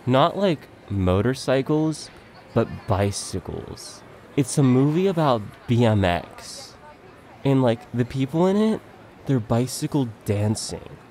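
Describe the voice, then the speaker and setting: A man playing an excitable but laid-back slacker dude, an earnest movie buff rambling in slangy speech through a comic stage monologue. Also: medium pitch, a little hiss or hum. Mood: enthusiastic. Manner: rambling slangy dude-speak with wondering pauses.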